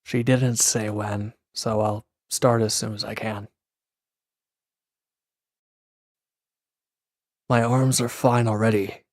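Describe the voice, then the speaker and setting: A man acting a gruff, guarded former villain in a roleplay audio drama, curt and grumbling, reluctant to lean on anyone. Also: fairly low pitch, flat delivery, unhurried, no echo, studio quality, lightly acted. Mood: guarded, stubborn. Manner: curt, grumbling, defensive, with sighs and hesitant breaks. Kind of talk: scripted roleplay audio drama addressed to the listener.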